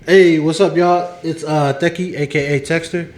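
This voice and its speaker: A man hosting a casual podcast chat, upbeat and teasing, chiming in with quick replies. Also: a little high, fairly even intonation. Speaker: podcast host.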